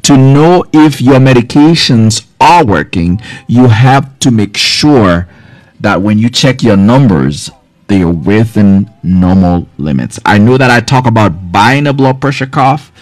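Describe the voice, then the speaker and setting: A man's voice: lowish voice, lively intonation, measured pace, no echo. A man in his forties from Haiti, a health care provider giving casual, direct advice to viewers on a live stream from a small office. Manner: earnest, direct, advising viewers, loose filler words. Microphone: studio mic on boom arm, front camera close-up.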